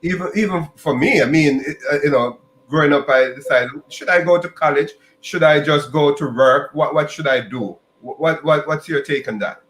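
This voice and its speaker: A man's voice: on the high side, normal speed, hardly any pauses, a bit bright, reverberant, rough recording. A man from Jamaica, hosting a podcast interview and asking long, rambling questions with many restarts and repeated words. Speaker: podcast host.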